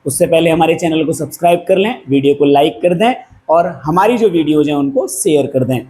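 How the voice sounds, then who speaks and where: A man's voice: on the high side, brisk, mellow. A male maths teacher, teaching a class lesson at a whiteboard. He is patient and keeps asking questions, then answers them himself. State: hoarse. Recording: faint background noise.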